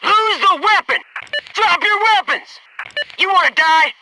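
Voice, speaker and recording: A man's voice: very high-pitched, measured pace, heavy background noise. A male actor playing a loyal, wisecracking special forces soldier in a military shooter game, speaking in clipped military phrases. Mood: commanding shouts.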